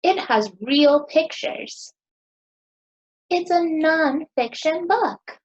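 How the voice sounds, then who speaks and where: An American woman in her thirties teaching young children, asking questions in a sing-song, encouraging way with deliberate pauses. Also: high-pitched, animated, dull audio. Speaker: teacher hosting a children's story time.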